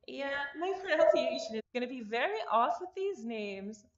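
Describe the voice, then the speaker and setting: A woman's voice: high-pitched, very animated, moderate pace, lo-fi sound. A woman reading a visual novel aloud in an informal way, breaking off for casual asides and apologies. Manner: informal, self-interrupting, apologetic about name pronunciation. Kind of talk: casual read-aloud of a game script with ad-libbed asides.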